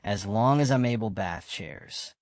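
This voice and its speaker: A man's voice: lowish voice, unhurried, no echo, natural voice. Old male countryman played by an actor, audio drama. Stubborn, sceptical of anything new, rustic and grumbling, dry recording. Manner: rustic, grumbling dialect speech.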